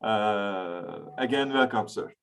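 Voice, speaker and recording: A man hosting a webinar, speaking informally in a halting, hesitant way with many pauses. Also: fairly low pitch, a bit bright. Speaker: webinar host. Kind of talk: informal guest introduction.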